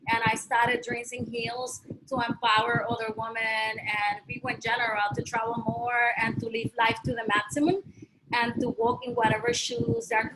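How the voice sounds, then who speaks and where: Woman on a video call with fan noise. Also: a little high. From Puerto Rico. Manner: hesitant, many fillers. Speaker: travel writer and panelist. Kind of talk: panel self-introduction. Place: home in Puerto Rico, fan running. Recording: clear fan noise behind.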